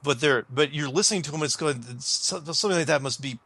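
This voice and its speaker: Bright-voiced male host, close and dry. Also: a little high, breathy edge, no echo. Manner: agreeable, halting, thinking aloud. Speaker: show host. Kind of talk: casual interview chat.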